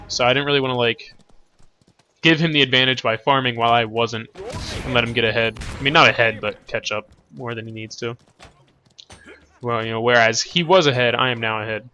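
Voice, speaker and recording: Bright-voiced young man with a stuffy nose. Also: medium pitch. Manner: relaxed, rambling, many fillers and self-corrections. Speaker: gameplay commentator. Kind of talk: casual gameplay commentary.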